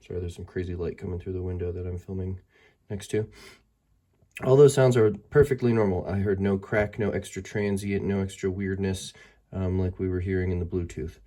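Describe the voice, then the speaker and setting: A man's voice: deep voice, steady tone, fairly quick, mellow. A man giving a casual hands-on product review, thinking aloud hesitantly, as an amateur reviewer. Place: indoors by a window. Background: music tracks played as demonstration.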